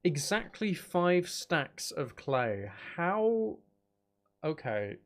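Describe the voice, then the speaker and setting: A man's voice: a little high, big pitch swings, very slow. A man giving casual, rambling gameplay commentary, thinking aloud as he plays. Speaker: gaming video creator playing Minecraft.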